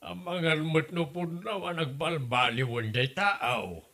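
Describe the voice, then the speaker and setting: Man acting bright-voiced, reasoning Ilocano father. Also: fairly high pitch, slow pace, full character voice. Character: middle-aged father, reasonable family peacemaker. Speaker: actor in an Ilocano comedy drama. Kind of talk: comedy drama acting.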